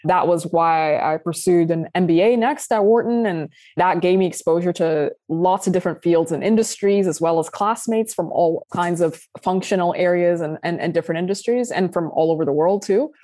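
A young adult woman from Sudan, a founder answering interview questions in a casual, animated way, laughing often and restarting sentences. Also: lowish voice, normal speed, few pauses, dry recording. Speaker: guest founder.

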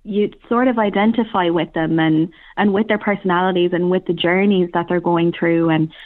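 A woman's voice: fairly low pitch, fairly even intonation, normal speed. A woman, a theatre director, talking conversationally as an interview guest over a phone-like line. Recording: narrow, thin phone-like line.

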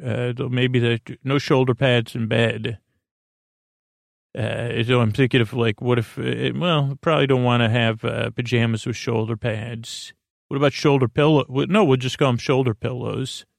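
A man hosting a bedtime podcast in a meandering, halting monologue, often drifting off his train of thought. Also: mid-range voice, fairly even intonation, creaky, no echo, studio quality. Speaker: podcast host.

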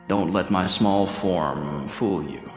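A man's voice: deep voice, slow pace, roomy sound, big-room sound, faint music behind. A man voicing a young male sphinx cub who speaks in a grand, formal, declaiming way. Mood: haughty.

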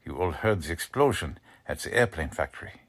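A man's voice: low voice, expressive, a little fast, breathy edge. A man playing an anxious, principled middle-aged German-American father in a 1940s-style radio drama, grave and earnest. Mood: grave, urgent. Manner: grave, earnest, formal phrasing. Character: anxious German-American family patriarch, urgent but principled.

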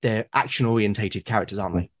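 A man from Britain, a podcast guest, talking eagerly about his characters, with lots of restarts and a slightly husky voice. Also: on the low side, quick pace. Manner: enthusiastic, rambling with many restarts. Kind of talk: casual podcast conversation.

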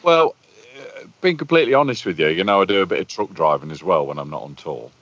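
Deep boomy-voiced man. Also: animated, fast, a bit bright. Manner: talkative, storytelling. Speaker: guest, bass player. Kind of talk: casual conversation. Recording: muffled lo-fi audio.

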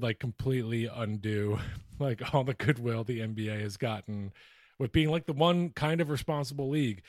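A man's voice: mid-range voice, darkish tone, no room sound, studio quality. An American man co-hosting a podcast, chatting casually about sports in an informal, sweary way. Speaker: podcast co-host. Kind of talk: casual banter and sports chat.

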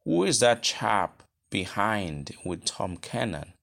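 A man with a Nigerian accent voicing a pompous, blunt middle-aged gentleman in a storybook reading, emphatic and inquisitive. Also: lowish voice, very animated, takes it slowly, vocal fry, full character voice. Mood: curious.

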